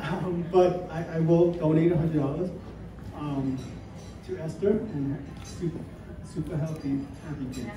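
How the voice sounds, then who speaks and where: A man's voice: fairly high pitch, steady tone, a little slow, background noise. A man, a judge, giving brief joking remarks at a gala with easygoing fillers. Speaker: judge and guest speaker. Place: gala event hall. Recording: echoey hall, distant, crowd.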